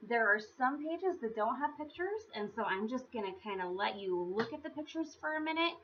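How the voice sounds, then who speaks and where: A woman's voice: a little high, animated, fairly quick. A woman hosting storytime, chatting casually and informally as she introduces a picture book. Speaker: storytime host. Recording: dull lo-fi sound, faint noise.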